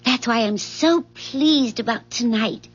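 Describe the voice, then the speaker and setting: A woman's voice: fairly high pitch, takes it slowly, slightly rough. An actress playing a sweet, evasive neighbor woman in a 1970s radio drama, speaking tentatively and wistfully, eager to please. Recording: dull, muffled 1970s radio broadcast recording.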